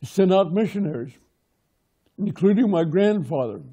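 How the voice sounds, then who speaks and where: A man whose first language is Lakota, from Pine Ridge, telling his community's oral history in measured, short, plain sentences. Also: sits high, expressive, dark tone, slightly rough. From Pine Ridge, South Dakota. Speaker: interviewee, local historian.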